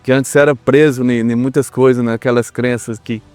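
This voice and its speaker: A man's voice: mid-range voice, fairly even intonation, normal speed, a little mellow, clean tone, dry recording, soft background music. A Brazilian man speaking as a podcast guest, telling his personal story in an earnest, reflective way and repeating himself for emphasis. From Brazil, small town.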